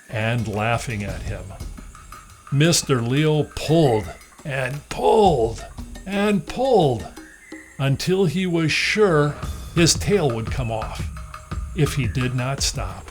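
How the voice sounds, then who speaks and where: A man reading a children's storybook aloud as an expressive storyteller. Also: medium pitch.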